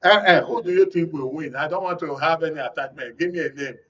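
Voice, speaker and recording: A Nigerian man hosts a studio panel discussion. His voice sits on the high side. The sound is somewhat dull and a little distant from the microphone. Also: fast talker, fairly bright tone. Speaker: radio and TV talk show host. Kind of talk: live panel discussion hosting. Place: radio and TV studio.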